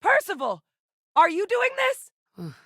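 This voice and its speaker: An actress playing a steady, determined female military scientist in a video game, with a serious, matter-of-fact manner. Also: sits low, moderate pace, no room sound, studio quality. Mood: urgent, shouting.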